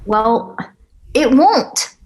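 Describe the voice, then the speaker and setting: A woman's voice: a little high, expressive, extremely slow, a little mellow. A woman playing a blunt, teasing, eager young girlfriend with a brash manner in a screenplay table read. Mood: exasperated, goading. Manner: brash, foul-mouthed banter.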